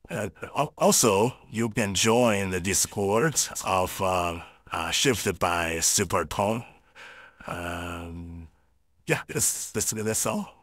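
A deep-voiced man, processed through an AI voice changer, gives an informal software tutorial. He talks slowly and haltingly. The sound is close and dry. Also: dry recording. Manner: hesitant, halting delivery. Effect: AI real-time voice changer. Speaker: YouTuber.

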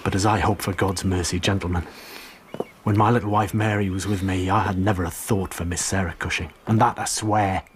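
An actor playing a remorseful, bitter working seaman in a radio drama, with a husky voice. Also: deep voice, fairly even intonation, a little fast, a little hiss or hum, natural voice. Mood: remorseful confession.

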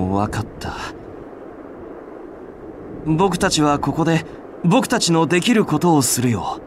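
A man's voice: on the high side, sing-song, slow pace, long pauses, noisy background. A man voicing an earnest, caring young alchemist in a Japanese video game, friendly and patient when explaining. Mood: worried.

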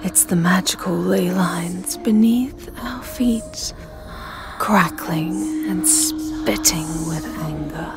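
A woman playing a sinister, jealous living porcelain doll maid in a dramatic ASMR roleplay, speaking in a breathy whisper with a menacing, savoring delivery. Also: on the low side. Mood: cold menace. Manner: slow, menacing, theatrical, savoring phrases. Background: music.

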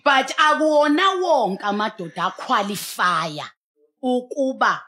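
A woman's voice: a little high, expressive, measured pace, pushed and bright. A South African woman speaking as a pastor on a studio talk show, emphatic and exhorting, mixing in English. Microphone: headset.

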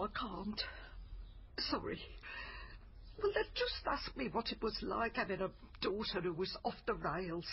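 A woman's voice: medium pitch, breathy edge, dull audio, full character voice. An actress playing a bitter, harassed middle-aged mother, aggrieved, in a naturalistic radio drama. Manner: aggrieved, exasperated. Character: bitter, harassed mother, a faded female singer.

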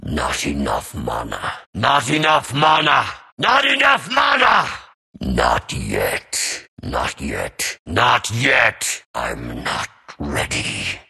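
An ancient, bitter zombie warrior from a video game, speaks in a very low, extremely gravelly rasp. His guttural delivery is very slow and drawn out, full of theatrical menace. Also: huge pitch swings, sharp tone, heavily acted. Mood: growing frustration. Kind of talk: video game character voice lines.

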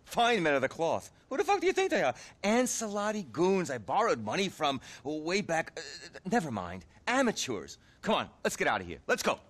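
Shrill, sarcastic, flamboyant club owner. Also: male voice, very animated, moderate pace, hardly any pauses, fairly bright tone. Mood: irritated. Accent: American. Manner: theatrical, bitingly sarcastic. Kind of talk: video game cutscene acting.

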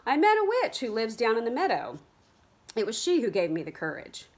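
A spirited, headstrong teenage girl, as a woman reads her aloud speaks in a bright, raised voice that swings up sharply. She talks earnestly and pleads her case from a storybook page. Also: on the high side, wildly swooping pitch, brisk, pitched above the performer's own voice, heavily acted. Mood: earnest pleading.

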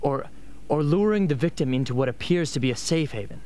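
A man's voice: a little high, lively intonation, brisk, darkish tone, a little hiss or hum, lightly acted. A man playing a hard, unrepentant young rebel soldier in an amateur radio play. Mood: matter-of-fact.

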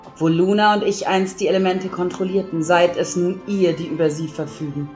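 A woman playing a grave, regal pony princess with a solemn, formal, measured delivery in an audio drama. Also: lowish voice, steady tone, darkish tone, faint music behind, lightly acted. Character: grave, regal pony princess, a long-reigning female ruler. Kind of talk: fan audio drama acting.

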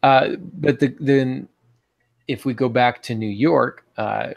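A man's voice: mid-range voice, takes it slowly, vocal fry, no room sound. An American man in his forties giving informal instruction as a podcast co-host on a video call, thinking aloud as he goes. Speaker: podcast co-host teaching fiction writing. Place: home office, on a video call.

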